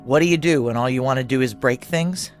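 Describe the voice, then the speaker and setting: A woman's voice: a bass voice, fairly quick, faint music behind. An actress playing a tough, weary female military chief in a noir audio drama, blunt, clipped and commanding as she acts and narrates. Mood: weary, wry. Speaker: narrator and lead actor.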